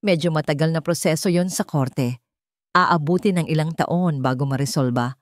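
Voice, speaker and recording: A woman plays a polite young husband in a story drama. He is calm and measured, with quiet weight behind his courtesy, in a close dry recording. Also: low-pitched, dry recording, close to an everyday voice.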